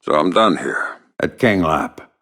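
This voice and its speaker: Very deep gravelly covert agent. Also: male voice, a little slow, no echo, clean studio sound, exaggerated voice. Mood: dry, wry. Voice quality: gravelly, low, often breathy growl. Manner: deadpan, sardonic, terse. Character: gruff, wry, menacing middle-aged male covert agent. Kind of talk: video game voice lines.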